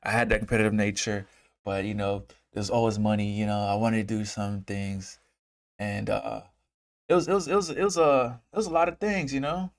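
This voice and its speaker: A man's voice: on the low side, fairly even intonation. Rambling young man on a casual livestream. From Uganda, moved to America as a child. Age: 20s. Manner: rambling, halting, repeats phrases and circles back. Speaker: trading streamer and host.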